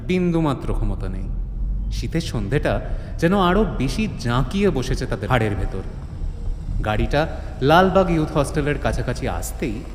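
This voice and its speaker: Animated male storyteller with long reverb. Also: medium pitch. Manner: theatrical, suspenseful. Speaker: narrator. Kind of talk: dramatic scripted storytelling, horror-comedy. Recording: reverberant, faint ambient noise.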